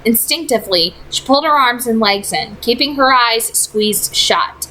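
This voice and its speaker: A woman's voice: a little high, lively intonation, normal speed, sharp tone, a little hiss or hum, rough recording. A woman reading fiction aloud as a storyteller, in scripted narration. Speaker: audiobook-style reader.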